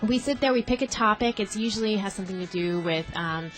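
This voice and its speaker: Brief: woman, middling pitch, chatty and upbeat, faint music under her. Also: quick pace, low-quality recording. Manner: chatty, upbeat, explains at length. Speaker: guest co-host of another show. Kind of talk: casual studio chat. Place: broadcast studio.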